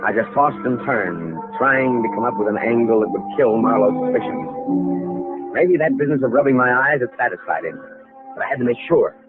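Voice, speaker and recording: A man's voice: mid-range voice, very animated, normal speed, close to an everyday voice. An actor playing a calculating, nervy impostor heir who narrates his crime in an old radio thriller. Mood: anxious, calculating narration. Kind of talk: old-time radio thriller acting and first-person narration. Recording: vintage radio recording, narrow tinny band, music.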